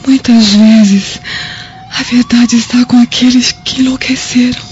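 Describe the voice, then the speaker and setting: An actress in a radio drama playing a dying, embittered mother raving on her deathbed in halting, anguished speech. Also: fairly high pitch, fairly even intonation, a little mellow, a little hiss or hum, natural voice. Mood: feverish, confessional. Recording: muffled lo-fi radio drama with music.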